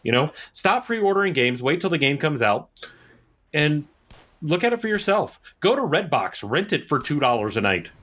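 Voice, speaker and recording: A man's voice: on the high side, sing-song, normal speed, fairly bright tone. A man co-hosting a podcast in casual chat, opinionated and emphatic, often repeating points for stress. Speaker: podcast co-host.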